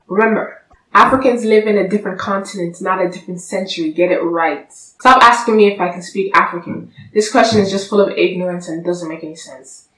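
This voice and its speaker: A woman's voice: fairly low pitch, a bit bright, distant mic. A young woman talking straight to camera in a blunt, sassy, lecturing vlog, seated on a sofa indoors. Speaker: vlogger. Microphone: camera at a distance. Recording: echoey room, voice from off-mic.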